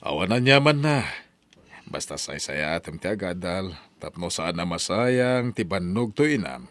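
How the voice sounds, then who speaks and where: Middle-aged patron father for audio drama, male actor: low register, animated melody, paternal, admonishing. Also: unhurried, no room sound. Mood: kindly, inquiring. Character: well-off middle-aged father, kindly patron, gently scolding. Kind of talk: Ilocano audio drama acting.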